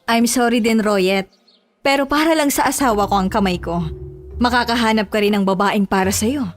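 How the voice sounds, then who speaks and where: A woman's voice: on the high side, normal speed, soft background music, natural voice. A woman playing a protective, possessive young wife in a horror audio drama. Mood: firm, polite.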